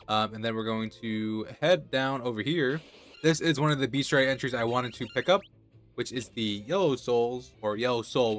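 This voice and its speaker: Nasal man talking through a game. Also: medium pitch. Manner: relaxed, chatty, thinking aloud. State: has a cold. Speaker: gaming channel host. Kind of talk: casual gameplay commentary.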